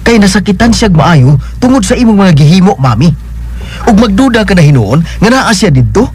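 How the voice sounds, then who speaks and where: A man's voice: high-pitched, sing-song, moderate pace, a little mellow, slight background noise. A man in a radio drama playing a nervous young man who stammers and hesitates.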